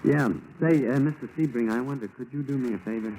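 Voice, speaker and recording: An actor playing a friendly, casual undercover FBI agent in 1940s radio style, on a noisy old recording. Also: male voice, medium pitch, quick pace, warm tone, close to an everyday voice.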